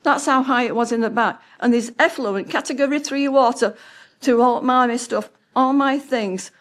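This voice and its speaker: A seventy-year-old woman giving public comment at a county meeting, distraught and close to tears, halting and breaking off mid-word. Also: a little high. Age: 70s.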